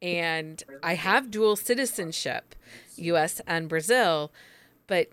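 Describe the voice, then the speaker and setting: A woman's voice: lowish voice, slow pace, fairly bright tone, clear, resonant tone. A woman in casual conversation who leads the talk, opinionated and outspoken. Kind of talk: casual chat about book signings.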